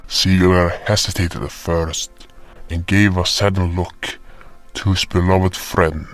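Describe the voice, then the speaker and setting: A man with a deep voice narrates a scripted tale as a solemn guardian spirit. He takes his time over each line in a measured way. Faint music plays underneath. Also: flat delivery, fairly bright tone. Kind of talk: first-person scripted story narration. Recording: music behind the voice.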